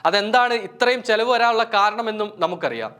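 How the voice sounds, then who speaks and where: High-pitched man reading news. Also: expressive, a little fast, sharp tone. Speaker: news narrator. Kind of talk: scripted news narration.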